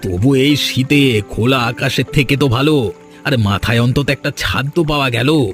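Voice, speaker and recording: A man playing a humble, protective young carpenter on the run with his sweetheart, earnest and excitable, in a cartoon. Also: mid-range voice, normal speed, faint music behind, lightly acted. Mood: earnest, reassuring. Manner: earnest, excitable, stammers when alarmed. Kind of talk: Bengali cartoon melodrama acting.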